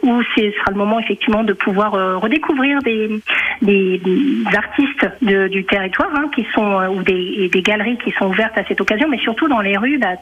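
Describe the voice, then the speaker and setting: Female radio guest, French accent from France, tourism director type. Halting informal delivery, muffled low-fidelity line. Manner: hesitant, halting with repeated words. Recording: dull lo-fi phone-in on radio.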